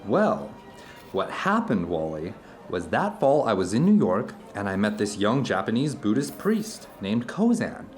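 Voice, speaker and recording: A man's voice: on the low side, sing-song, unhurried, faint music behind. An actor playing an introspective man who tells uncanny personal anecdotes to an old friend in an audio drama, rambling, earnest and emphatic. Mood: reflective. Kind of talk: audio drama dialogue, conversational monologue.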